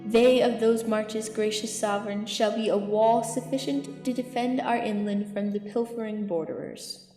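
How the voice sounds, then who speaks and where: A woman's voice: medium pitch, fairly even intonation, normal speed, mellow, close to an everyday voice. A woman playing a zealous, eloquent archbishop counselling a king in declamatory Shakespearean verse in an audio drama. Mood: reassuring, proud. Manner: declamatory, rhetorical. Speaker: amateur actor in student audio drama. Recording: echoey hall reverb, music underneath.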